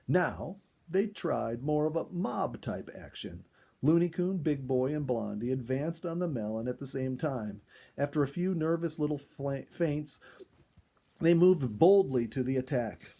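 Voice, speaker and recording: A man reading a first-person animal story aloud in an expressive, dramatic storytelling style. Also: mid-range voice, creaky, breathy edge. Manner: expressive, acts out dialogue and exclamations.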